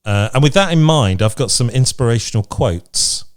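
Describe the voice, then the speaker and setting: A man's voice: mid-range voice, lively intonation, moderate pace, clear, resonant tone. A man co-hosting a podcast in casual chat, with an encouraging, upbeat manner. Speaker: podcast co-host.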